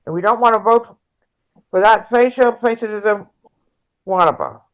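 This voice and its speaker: Casting: actress as hopeful progressive female politician for a play read, mid-pitched, sincere, stumbling over the script. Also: smooth, natural voice, low-quality recording. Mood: urging, earnest. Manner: halting script reading with stumbles. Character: earnest, hopeful progressive female presidential candidate. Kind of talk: amateur table read of a play.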